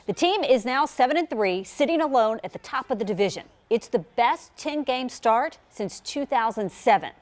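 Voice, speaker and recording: A woman's voice: on the high side, lively intonation, normal speed, hardly any pauses. A woman working as a television news anchor, delivering copy and segues in broadcast style. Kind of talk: scripted news reading with light anchor chat.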